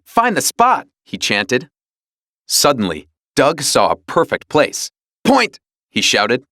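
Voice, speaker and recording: Male storybook narrator for young readers: animated, dramatic, mid-pitched, dry studio-style sound. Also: dry recording. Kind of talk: scripted children's read-along narration.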